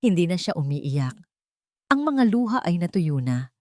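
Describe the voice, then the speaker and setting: Woman, story narrator, dramatic scripted reading, lower pitch, dry recording. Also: big pitch swings, measured pace, warm tone, no echo.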